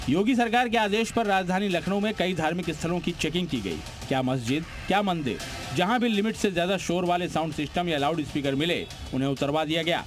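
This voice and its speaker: High-pitched man narrating news over music. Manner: dramatic, punchy delivery. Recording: music bed behind the voice. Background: prominent background music.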